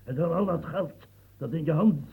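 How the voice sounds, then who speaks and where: A man's voice: a little high, moderate pace, background noise, lightly acted. An actor playing an aging French statesman near death, feverish and rambling, stammering as he talks. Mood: feverish ranting. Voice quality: gravelly, stammering. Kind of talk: radio play acting. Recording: tinny lo-fi old radio recording.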